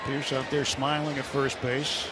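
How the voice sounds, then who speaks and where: A man's voice: medium pitch, level tone, unhurried. A man doing live baseball play-by-play on radio from a stadium booth, with colorful, folksy turns of phrase. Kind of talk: live sports commentary. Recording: loud ballpark crowd and noise. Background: roaring stadium crowd.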